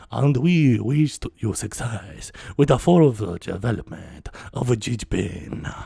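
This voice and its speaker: Pompous, scheming sci-fi alien viceroy played by a man: formal, stilted, easily flustered, lively pitch swings. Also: vocal fry, slightly rough, dry recording, studio quality, heavily acted. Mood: alarmed.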